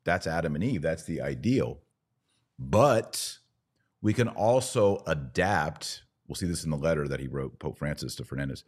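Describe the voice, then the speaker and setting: A man with a deep, low-pitched voice hosts a podcast. He gives conversational commentary, talking straight to listeners and to his guest. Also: lively intonation, normal speed, slightly rough. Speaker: podcast host.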